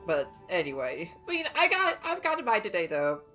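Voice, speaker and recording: A woman gives casual livestream commentary over game music. Her voice is bright and very lively in pitch, and she rambles chattily as she reacts. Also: brisk.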